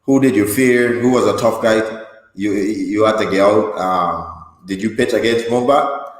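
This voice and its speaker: A low-voiced man hosts a casual interview, encouraging his guest and winding through his questions. The room rings with a long, echoing reverb. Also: measured pace. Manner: encouraging, asks rambling questions. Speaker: podcast host.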